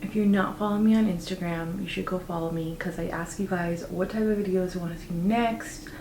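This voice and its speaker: Chatty woman, slightly raspy morning voice. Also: on the low side, fairly even intonation, fairly quick, reverberant, noisy background. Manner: chatty, upbeat, lots of "like" fillers. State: slightly raspy, just woke up. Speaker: beauty vlogger. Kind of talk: casual makeup tutorial talk.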